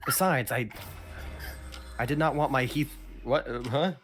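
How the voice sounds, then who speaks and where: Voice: mid-range voice, a little slow, a bit bright, a little hiss or hum. An amateur voice actor reading game dub lines among friends, with a jokey manner and casual asides. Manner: jokey, laughs through lines. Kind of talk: fan dub line reading mixed with casual joking.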